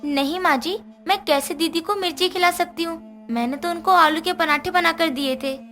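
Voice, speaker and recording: Casting: female actor as young put-upon housewife, high, sharp-edged voice, melodramatic cartoon delivery, music underneath. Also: moderate pace. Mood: meek, innocent. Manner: dramatic, swings from meek pleading to menace. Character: put-upon young daughter-in-law, tearful, turning fierce when possessed. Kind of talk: Hindi moral-story cartoon dubbing.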